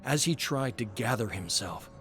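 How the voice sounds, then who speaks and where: A man's voice: mid-range voice, expressive, moderate pace. A man reading scripted, dramatic horror narration. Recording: music under the voice. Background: music.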